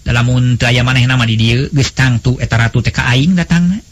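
Man putting on an impatient, husky young noblewoman. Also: mid-range voice, a little fast, lightly acted. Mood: angry, jealous. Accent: Sundanese. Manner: eager, demanding.

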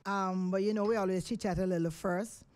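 A middle-aged Jamaican woman hosts a live radio show from the studio. She talks into a studio microphone in a loose, chatty way, with plenty of ums and "of course". Her voice sits at an ordinary pitch for a woman. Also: steady tone, fairly quick, a little mellow. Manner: chatty, rambling with fillers. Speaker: radio host.

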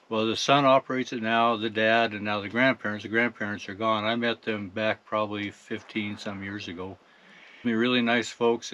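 An American man in his sixties talks casually to the camera in a truck cab. His manner is folksy and unhurried, with a medium-pitched voice. The sound is a little dull and muffled. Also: a bit bright. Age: 60s. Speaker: farm truck driver and video host. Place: inside a parked truck cab.

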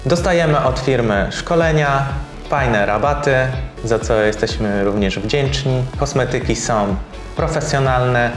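Man, 30s, salon owner, measured testimonial read, middle pitch, roomy room, faint music bed. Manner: measured, slightly formal with filler pauses. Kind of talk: sponsored testimonial interview. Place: bright hair salon, indoors.

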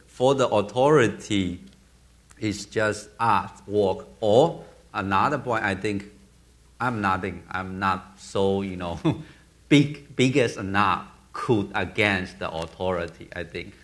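Slow-talking man with a Burmese accent. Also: on the low side, fairly bright tone. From Myanmar. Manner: halting, searching for words, with frequent restarts. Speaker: film director. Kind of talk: Q&A answers on stage. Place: theater stage.

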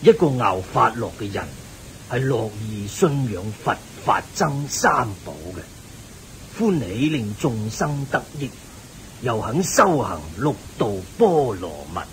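A man's voice: very animated, poor audio. An actor playing a wise, benevolent Buddhist layman who teaches patiently in a Hong Kong Cantonese radio drama. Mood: kindly. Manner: measured, benevolent, didactic. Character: kindly, wise male Buddhist layman sage. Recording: hissy, rumbly old radio recording.

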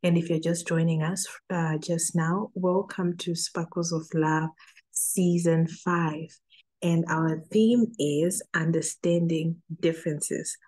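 Low, mellow Zimbabwean woman hosting. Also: fairly even intonation, slow pace. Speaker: event MC. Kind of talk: informal hosting of an online event.

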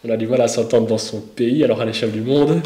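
A man in his thirties with a Belgian French accent, talking in a lively, animated way about faith and forgiveness. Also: mid-range voice, fast, a little hiss or hum. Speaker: co-host and street interviewer. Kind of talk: casual faith discussion. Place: indoor studio set, echoey room. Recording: roomy echo.

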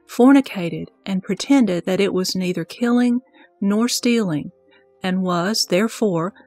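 A woman's voice: mid-range voice, a little mellow, no room sound. A reverent disciple played by a woman speaks in a scripture audio drama. The recitation is formal, careful and earnest, dry and close, with music behind it.

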